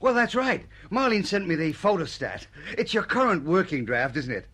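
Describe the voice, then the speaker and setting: A man's voice: high-pitched, big pitch swings, normal speed, pushed and bright, heavily acted. An actor playing an affable, eager male writer in a radio drama, with a friendly, upbeat manner. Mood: eager, explaining.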